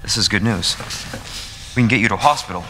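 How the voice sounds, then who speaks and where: A man's voice: lowish voice, quick pace, close to an everyday voice. An actor playing a young American rookie policeman in a video game, earnest, dutiful and concerned. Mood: concerned, resolute. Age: young adult. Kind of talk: dramatic video game acting. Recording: clear game ambience noise behind.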